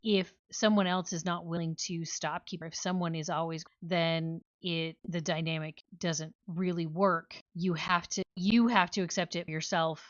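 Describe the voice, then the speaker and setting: A woman's voice: fairly low pitch, steady tone, measured pace, hardly any pauses, no echo, muffled recording. A woman co-hosting a book club podcast, talking casually in an analytical, questioning way.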